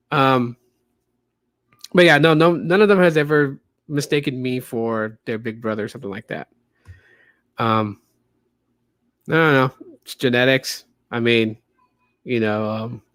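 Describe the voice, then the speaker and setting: A man's voice: medium pitch, a bit bright, no echo. Light-voiced man, dry close mic. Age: 30s. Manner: loose, rambling, frequent fillers. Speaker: podcast host. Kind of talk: casual livestream podcast chat.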